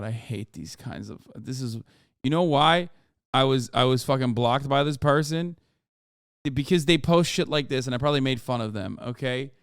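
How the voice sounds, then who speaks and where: A man's voice: mid-range voice, clean tone, no echo, studio quality. A man hosting a live stream who reacts casually, swears a lot, rambles and restarts his sentences. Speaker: streamer host.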